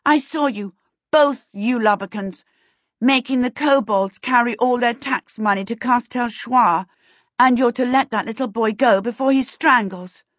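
A woman's voice: high voice, slow, creaky, few pauses, darkish tone, no room sound, muffled recording, pitched above the performer's own voice, full character voice. A woman voicing a bold young heroine in a storybook, outspoken and direct. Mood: accusing. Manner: outspoken, urgent.

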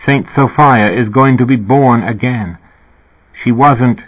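Man doing a hushed, hesitant despairing middle-aged man, storybook reading, tinny radio sound. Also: mid-range voice, slow pace. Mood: hopeful, hushed. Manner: quiet and hesitant. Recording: thin phone-like radio broadcast.

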